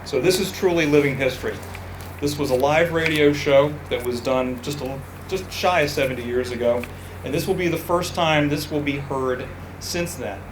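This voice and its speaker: A man introducing a radio-play reenactment to a live audience in a relaxed, conversational way. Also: on the high side, normal speed. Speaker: family member presenting the event. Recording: echoey noisy space.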